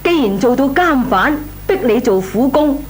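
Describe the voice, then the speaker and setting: An actress playing a nosy, goading neighbourhood housewife in a Cantonese comic radio drama, with colloquial, exclamatory talk. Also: female voice, high-pitched, expressive, normal speed. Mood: insistent. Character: nosy neighbourhood married woman, coaxing and teasing. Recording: noisy, humming radio recording.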